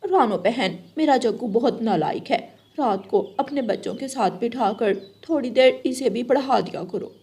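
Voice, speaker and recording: A woman's voice: a little high, expressive, moderate pace, hardly any pauses, dark tone, slightly rough. A woman voicing an exasperated mother crow in a children's moral cartoon, complaining earnestly. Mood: earnest request.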